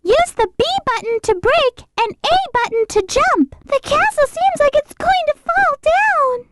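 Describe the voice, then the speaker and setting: A woman's voice: extremely high pitch, lively intonation, measured pace, very noisy. A woman voicing a sweet, timid, polite little girl rabbit in a video game, with a tiny, childlike, earnest voice. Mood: earnest, helpful. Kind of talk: video game character voice clips.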